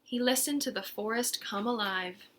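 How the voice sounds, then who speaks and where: A woman narrates a children's story in a bright, forceful voice that sits a little high. She reads expressively, leaning on words for dramatic effect. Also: a little hiss or hum. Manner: dramatic, deliberate emphasis. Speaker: storybook reader.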